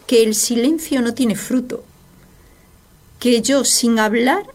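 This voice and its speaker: A woman's voice: mid-range voice, a little mellow. A woman giving a devotional radio reflection, earnest and emphatic, asking rhetorical questions. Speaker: religious radio speaker.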